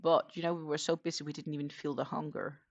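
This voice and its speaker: Middle-aged woman, livestream crochet host, casual rambling chat, lots of ums, lowish voice. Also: flat delivery, fast, gravelly, dull audio. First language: not German. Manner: chatty, rambling, frequent um fillers.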